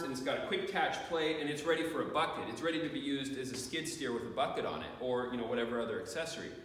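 A man's voice: on the high side, fairly bright tone, slight background noise. A man in his forties giving a rambling, plainspoken equipment review in a large farm shed, with lots of fillers and restarts. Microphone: camera at a distance. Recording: echoey shed, voice far from camera.

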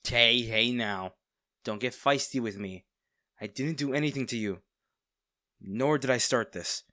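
A man playing a smug, teasing villain with hidden fondness for a captive hero, in an intimate audio roleplay, coy and full of drawn-out pauses. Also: mid-range voice, sharp tone, no room sound, studio quality. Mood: smug, playful.

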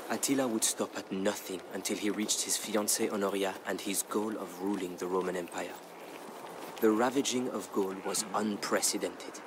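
A man playing a solemn storyteller in a strategy game, narrating war history in a measured, dramatic, deliberate way. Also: lowish voice, very noisy, close to an everyday voice. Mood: grave. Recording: narration over background music. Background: music.

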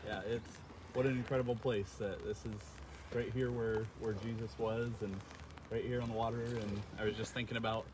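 A man's voice: fairly low pitch, little pitch movement, normal speed. A man in his thirties from Iowa with an American accent, a pastor chatting casually in an outdoor interview. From Iowa, United States. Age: 30s. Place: outdoors on a lakeshore beach. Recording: clear outdoor ambience and vehicle noise.

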